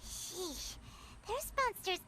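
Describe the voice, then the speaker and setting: A woman voicing a tiny childlike flying companion in a video game, squeaky, anxious and hesitant. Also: animated, extremely slow, sharp tone, exaggerated voice. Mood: worried.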